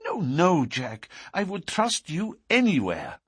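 A man's voice: on the high side, wildly swooping pitch, slow, fairly bright tone, husky, no echo, voice pushed up, exaggerated voice. A man voicing a frightened young woman in love, breathless and imploring, in a storybook reading. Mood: trusting.

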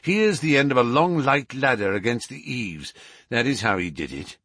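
A man voicing a keen, commanding male detective in a storybook, with brisk, formal, authoritative phrasing. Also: mid-range voice, expressive, fairly bright tone, breathy edge, dry recording, studio quality. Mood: decisive.

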